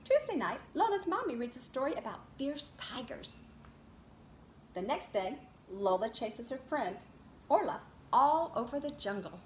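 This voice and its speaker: Lively, high-voiced woman reading to preschoolers. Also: unhurried. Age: middle-aged. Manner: expressive, addressing young children. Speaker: preschool teacher reading aloud. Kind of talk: children's picture book read-aloud. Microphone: camera a short distance away. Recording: roomy echo, clear background noise.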